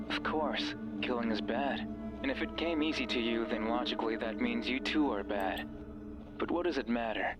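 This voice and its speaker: A man's voice: medium pitch, natural voice, rough recording. Man voicing a sinister, coaxing radio voice. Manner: coaxing, commanding. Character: sinister possessive male voice on a radio, coaxing, manipulative. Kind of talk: horror visual novel voice acting.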